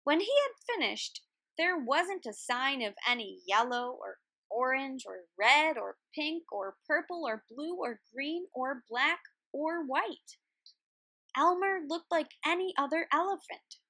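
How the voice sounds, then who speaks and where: A woman reading a children's picture book aloud in an expressive, deliberate way, like a teacher. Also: sits high, dry recording. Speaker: read-aloud storyteller, art teacher.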